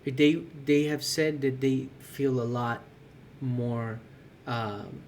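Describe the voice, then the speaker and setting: Casual male podcast co-host, mid pitch, faint hiss behind him, easygoing and halting. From California. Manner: relaxed, searching for words, frequent fillers.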